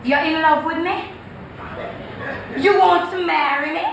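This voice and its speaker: A woman's voice: very high voice, fairly even intonation, measured pace, creaky, a bit bright, full character voice. An actress playing a proud, blunt young woman with a Jamaican Patois accent, challenging and assertive in a stage comedy-drama. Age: young adult. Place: indoor theatre stage before an audience. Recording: echoey distant theatre, audience, background noise.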